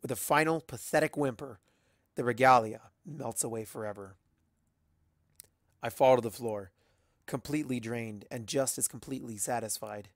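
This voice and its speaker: A narrator reading fan fiction as a dramatic story, deliberate and expressive, acting out the dialogue. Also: mid-range voice, no room sound, studio quality.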